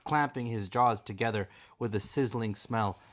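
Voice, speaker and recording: A man's voice: on the low side, moderate pace. A man reading a bedtime story aloud in the role of a father.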